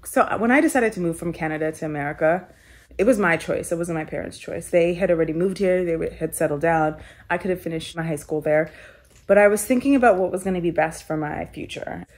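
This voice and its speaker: A young woman from Kenya with a lowish voice tells her own story in a sit-down interview. She speaks reflectively and casually, leaning on filler words as she thinks aloud. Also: fast. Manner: reflective, conversational, many "like" fillers. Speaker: storyteller guest.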